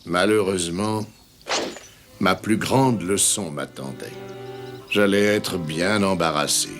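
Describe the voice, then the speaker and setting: A man playing a grown elephant who narrates memories in a French cartoon, dignified, nostalgic and gently wry. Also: low-pitched, slow. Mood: wistful. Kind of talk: scripted storybook narration in French-dubbed cartoon. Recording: music under the narration.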